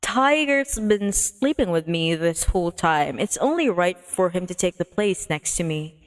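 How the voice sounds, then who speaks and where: A playful, giggly, expressive woman streamer chatting and reading a visual novel aloud on a livestream. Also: fairly low pitch, a little slow.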